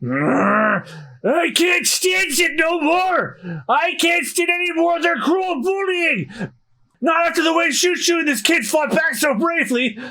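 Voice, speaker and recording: A man voicing a stubborn, fiery old town mayor with impassioned shouting, in a comic read-aloud. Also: very high-pitched, sing-song, measured pace, pitched above the performer's own voice, exaggerated voice. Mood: fired up.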